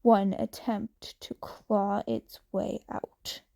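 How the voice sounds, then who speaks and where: A woman's voice: medium pitch, little pitch movement, very slow, vocal fry, dark tone. A woman voicing a solemn, brooding teenage bird-headed boy hero in a story, grave and melodramatic.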